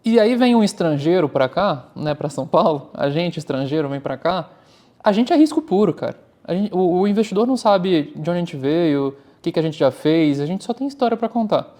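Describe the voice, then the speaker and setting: A man's voice: on the high side, moderate pace. A Brazilian man, a startup founder talking candidly and energetically as an interview guest. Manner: candid, animated, uses "cara" often. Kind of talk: casual interview talk.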